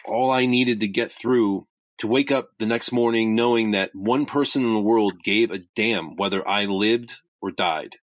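A man playing an earnest, caring crisis hotline volunteer in an audio drama, speaking in a measured, hesitant, sincere way. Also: fairly low pitch, no echo, lightly acted. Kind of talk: naturalistic two-person audio drama acting.

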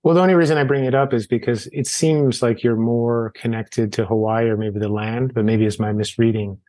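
A man's voice: mid-range voice, steady tone, fairly quick, dark tone, no room sound. A man interviewing a writer, asking long, winding questions with restarts.

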